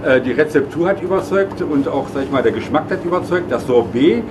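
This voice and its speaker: A man's voice: on the high side, lively intonation, a little fast, background noise. A man presenting a regional food award in formal speech, often hesitating with fillers. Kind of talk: official speech.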